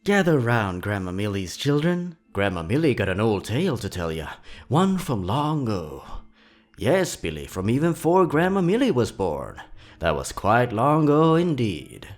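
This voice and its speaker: Old granny storyteller acted by a man, folksy, theatrical, raised pitch, animated swings, storybook legend, soft music behind. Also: moderate pace, close to an everyday voice. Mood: folksy, playful. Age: older. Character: folksy old grandma telling children an ancient tale.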